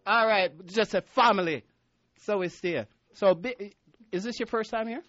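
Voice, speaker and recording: A man's voice: sits high, moderate pace, a bit bright, no room sound, lo-fi sound. A man hosting a casual radio interview, teasing and playful, laughing often. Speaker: radio host.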